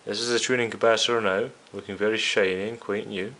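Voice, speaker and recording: A man's voice: on the low side, steady tone, fast talker, bright, forceful tone. A man hosting a hands-on repair video, talking casually and explaining each step directly to his viewers. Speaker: host of a radio restoration series.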